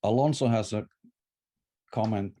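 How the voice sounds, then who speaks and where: He is a man, his voice low and warm. He talks in a thoughtful, scholarly way over a video call, circling back to restart words as he explains their roots. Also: a little slow. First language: Finnish. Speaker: presenter answering questions. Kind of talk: academic discussion.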